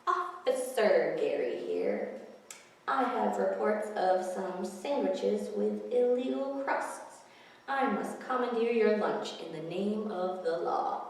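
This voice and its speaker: A woman's voice: medium pitch, expressive, takes it slowly, a little mellow, distant mic. Woman voicing a crafty grumbling seagull, echoey hall. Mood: mock-official. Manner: wheedling, mock-official, exasperated. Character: crafty, hungry, grumbling male seagull putting on disguises.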